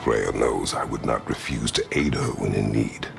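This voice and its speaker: A man playing a stern, weary, middle-aged god of war in a video game, terse and formal, with a gravelly voice. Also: normal speed, music in the background, full character voice. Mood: solemn. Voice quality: gravelly, very deep. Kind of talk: video game cutscene acting.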